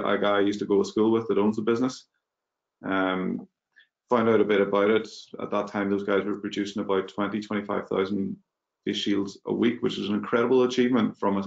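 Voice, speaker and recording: A man's voice: on the low side, creaky, echoey room, dull audio. A man with a Northern Irish accent, talking as a guest on a webinar in a conversational way with many fillers and restarts. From Northern Ireland. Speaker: guest speaker, packaging company manager. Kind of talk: webinar talk.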